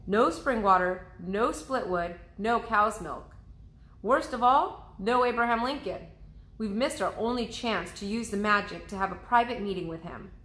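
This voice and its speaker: A woman's voice: mid-range voice, moderate pace, fairly bright tone, natural voice. A woman voicing a young boy adventurer who is worried, grumbly and doubtful, in a storybook reading. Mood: grumbling. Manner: exclaiming, grumbling. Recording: roomy, echoing indoor sound.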